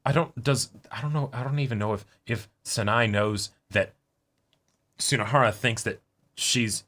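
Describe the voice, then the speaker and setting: A man co-hosting a reaction show, talking casually and thinking aloud in halting phrases. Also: mid-range voice, steady tone, fairly bright tone. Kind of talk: casual chat.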